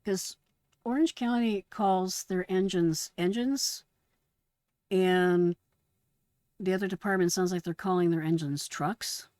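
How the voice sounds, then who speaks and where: A middle-aged woman with a fairly low voice talks casually as she explains things. The sound is dry and close, with no room on it. She comes across as a host walking listeners through radio calls. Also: slow pace, long pauses, a little mellow, dry recording. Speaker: host, retired emergency dispatcher.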